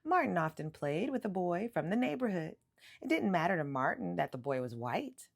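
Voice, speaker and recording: Animated woman reading a children's book. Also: fairly low pitch, creaky, slight background noise. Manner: animated, emphatic storytelling with drawn-out words. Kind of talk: expressive read-aloud of a children's picture book.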